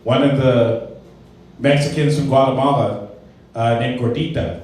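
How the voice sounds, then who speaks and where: A young man performing a stand-up comedy set, telling stories with animated put-on voices. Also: medium pitch, unhurried. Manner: animated storytelling with put-on voices for friends and coworkers. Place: comedy club stage. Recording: roomy, distant mic in a club.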